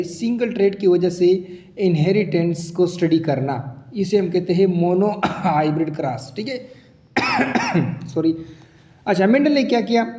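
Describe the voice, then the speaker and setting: A man's voice: high-pitched, mellow. A man teaching a biology lesson with a sore throat and a cough, explaining step by step and asking himself questions as he goes. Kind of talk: classroom lecture. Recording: echoey room with long reverb.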